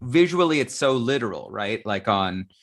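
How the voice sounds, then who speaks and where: A man's voice: medium pitch, expressive, moderate pace, fairly bright tone. Male interviewer, mid-range voice, casual live-panel talk, keeps tagging his points with "right?". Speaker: interviewer in a theatre discussion.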